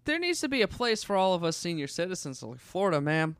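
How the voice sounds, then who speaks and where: A man's voice: high-pitched, sing-song, fast, a bit bright, smooth, dry recording. A man co-hosting a podcast in casual, joking conversation. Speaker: podcast co-host.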